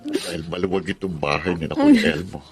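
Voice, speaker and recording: A steady older father in a radio drama. His voice is deep yet lively in its swings, and consoling. Music plays behind him. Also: a little fast, heavily acted. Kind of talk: Filipino radio drama acting.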